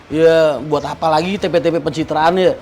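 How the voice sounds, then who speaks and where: A man's voice: on the high side, fairly even intonation, normal speed, darkish tone, soft background music. An Indonesian man in his late thirties, chatting casually as a guest in very informal, colloquial speech with plenty of questions. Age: late 30s. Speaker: guest, bus company figure. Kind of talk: casual chat.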